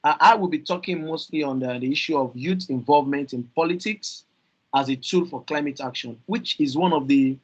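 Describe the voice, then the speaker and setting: A Nigerian man speaking earnestly on a video call panel, halting with fillers and repeated words, over dull lo-fi audio. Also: a little high. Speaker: youth climate panelist.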